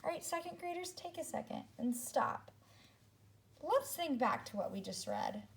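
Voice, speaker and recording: A woman teacher reading a story aloud to young children, speaking expressively and deliberately, with asides to her second-grade listeners. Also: high voice, big pitch swings.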